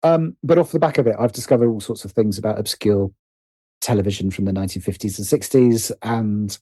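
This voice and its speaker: A man's voice: on the low side, a little fast, mellow, slightly rough, no room sound. A British man speaking as a podcast guest in casual conversation, hesitant and thoughtful as he circles around his points. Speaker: podcast guest, writer and producer.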